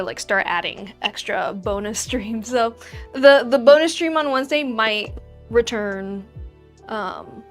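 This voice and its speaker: A young woman with a fairly high voice hosts a relaxed livestream and chats straight to her viewers. She teases herself and laughs a lot between thoughts. Soft music plays faintly behind her. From Argentina. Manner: chatty, self-teasing, laughs often. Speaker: livestream host. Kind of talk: casual livestream chat with viewers.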